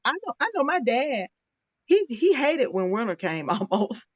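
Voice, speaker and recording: A talkative woman in her fifties hosts a relaxed conversation, laughing easily between thoughts. Her voice comes through a dry, dull tablet microphone with no room sound. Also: fairly high pitch, measured pace, breathy edge, no echo. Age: 50s. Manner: chatty, laughing, restarts often. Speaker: podcast host. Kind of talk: casual interview chat.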